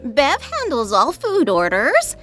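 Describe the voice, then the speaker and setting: An officious, polite drinks waitress, acted by a woman in a comedy audio sketch. She speaks slowly in a high voice with very animated pitch. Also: soft background music, heavily acted. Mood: polite, earnest. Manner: polite, customer-service delivery. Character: earnest, officious female beverage waitress.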